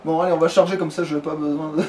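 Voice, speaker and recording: A man's voice: on the high side, flat delivery, rapid-fire, a little mellow. A man commenting casually on his game, with crude joking slang. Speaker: let's player. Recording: noisy home recording.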